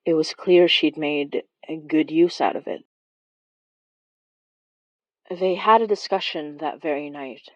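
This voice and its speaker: A woman's voice: low voice, slow pace, pauses often, dry recording, lo-fi sound. A woman playing a wry young woman who recounts a childhood monster chase in a horror audio drama. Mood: wry, reflective. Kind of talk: first-person horror storytelling in audio drama.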